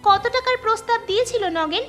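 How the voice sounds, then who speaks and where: A woman's voice: very high-pitched, quick pace, a bit bright, faint music behind. A woman voicing a bold, determined, scheming young village girl in a cartoon. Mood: demanding. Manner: firm, defiant. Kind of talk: cartoon voice acting in Bengali.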